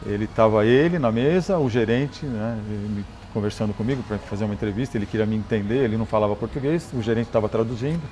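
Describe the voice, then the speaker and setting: A Brazilian man, a motorcycle engineer and rally champion, telling stories in a relaxed interview, often restarting words and adding "né?". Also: fairly low pitch, fast, few pauses, faint music behind. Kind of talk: conversational interview storytelling.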